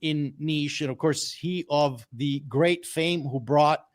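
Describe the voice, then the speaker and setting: A man's voice: on the high side, takes it slowly, dry recording, studio quality. A man presenting music picks on an audio roundtable show, enthusiastic and rambling, often repeating words. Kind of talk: casual roundtable chat.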